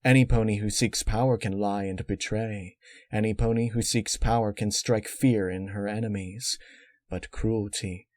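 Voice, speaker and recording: Regal pony princess, quietly sinister, played by a man: composed, formal, low, dry storybook delivery. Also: no echo. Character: regal female pony ruler, calmly sinister mentor.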